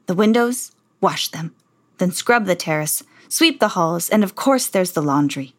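Storybook villain: a woman doing a cold stepmother, low and clipped, full of authority. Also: lively intonation, unhurried. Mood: commanding. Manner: stern, clipped orders. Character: cold, commanding stepmother, middle-aged woman.

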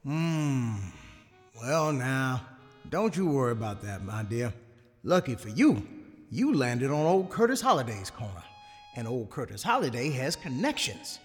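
A man playing a swaggering old ex-wizard, lively and echoing. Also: medium pitch, soft background music. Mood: smooth reassurance. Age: older. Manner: folksy, unhurried, self-aggrandizing. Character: boastful old former mage with a roguish streak. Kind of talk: sitcom audio drama acting.

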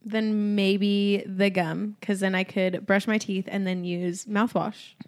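A young woman co-hosting a podcast, greeting listeners brightly and raising practical points in casual chat. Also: medium pitch, flat delivery, slow pace. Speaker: podcast co-host.

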